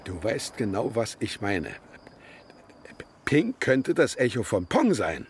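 A grumbling older man in a radio play for children. The voice sits low and swings freely between flat mutters and pointed jabs. He is dry, skeptical and laconic, with noise and insects audible behind him. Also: slow pace, heavily acted. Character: gruff older man, skeptical, grumbling, lonely, kind underneath.

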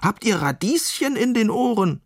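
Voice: mid-range voice, very animated, moderate pace, dry recording, clean studio sound, voice pushed up. A storyteller voicing a plucky, impatient young female sheep who wants to be a pirate, bossy and blustering. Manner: bossy, blustering, calls out loudly.

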